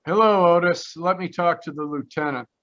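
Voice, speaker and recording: An actor playing a flippant, wry ex-cop private detective in a 1940s-style radio play. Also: male voice, on the high side, moderate pace, close to an everyday voice. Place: remote live performance over video call. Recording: dull, lo-fi video call audio.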